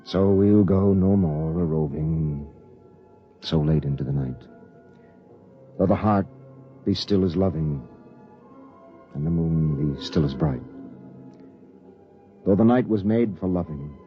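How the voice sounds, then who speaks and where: An actor playing a grave, conscience-torn spaceship captain, solemn and earnest, in 1950s radio drama style. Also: male voice, very deep voice, slow pace, pauses often, soft background music. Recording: dull vintage 1950s radio recording.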